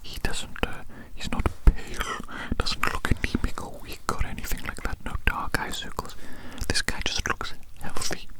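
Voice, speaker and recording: An ASMR roleplay host speaking in a breathy, unvoiced whisper very close to the microphone, lingering over words and repeating phrases soothingly. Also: moderate pace, hardly any pauses, rough recording.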